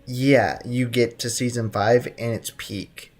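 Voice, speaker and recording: A man's voice: mid-range voice, steady tone, slow pace. A young man live streaming a video game, chatting casually and playfully with viewers in loose slang. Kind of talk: casual chat while gaming. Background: game music.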